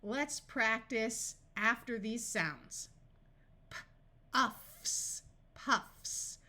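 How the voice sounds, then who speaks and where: A woman narrating a scripted phonics lesson as a reading tutor, deliberately sounding out words piece by piece. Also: fairly high pitch, sharp tone.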